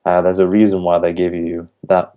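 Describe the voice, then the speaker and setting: Young male student interviewee, deep flat delivery, earnest and thoughtful. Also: normal speed. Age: young adult. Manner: thoughtful, earnest, with frequent ums and restarts. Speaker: interviewee, university language student. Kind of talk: casual interview answers.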